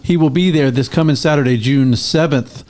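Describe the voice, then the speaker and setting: A man's voice: fairly high pitch, normal speed, darkish tone. Man reading out events. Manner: listing upcoming events. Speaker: arts center promoter.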